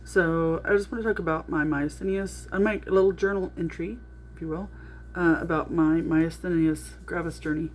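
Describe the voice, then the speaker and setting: A middle-aged woman with a naturally loud voice, talking through a casual video diary with lots of ums and restarts. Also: fairly low pitch, steady tone, normal speed, few pauses, slight background noise. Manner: rambling, with frequent ums and restarts. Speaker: vlogger.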